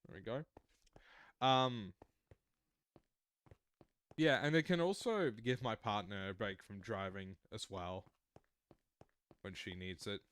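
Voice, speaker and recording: A man's voice: lowish voice, slow pace, pauses often, fairly bright tone, clean tone, no room sound. An Australian man in his mid-twenties, chatting casually and rambling through explanations while streaming a game. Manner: rambling, explains at length with restarts. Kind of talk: casual livestream chat while gaming.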